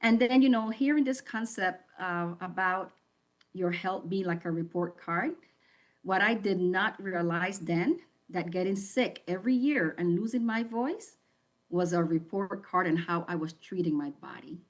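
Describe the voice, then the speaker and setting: A woman's voice: fairly low pitch, a little slow. A woman sharing a personal health testimony in a candid, reflective storytelling manner.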